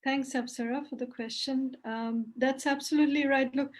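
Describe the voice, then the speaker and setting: Woman, panelist, higher voice, measured, articulate. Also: steady tone. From India. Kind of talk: panel discussion.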